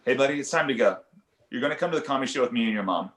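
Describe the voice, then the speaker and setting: A man playing an awkward young father and amateur comedian in an animated comedy table read, hesitant and stammering. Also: mid-range voice, rapid-fire, close to an everyday voice. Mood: easygoing. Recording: roomy echo.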